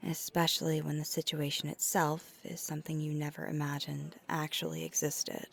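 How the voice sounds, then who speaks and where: A woman plays a tender female vampire opening up to a date in an intimate ASMR roleplay. The voice is lowish, breathy and creaky, often dropping to a whisper. The delivery is earnest and gentle, with hesitant pauses. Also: heavily acted. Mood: hesitant, sincere.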